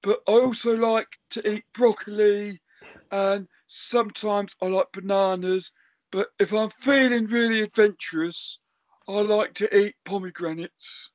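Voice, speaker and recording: A small, simple-minded male elephant played by a man rambles on in a cheerful, deadpan way. The voice sits close and dry. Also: sits high, a little slow, dry recording. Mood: rambling. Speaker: podcast co-host.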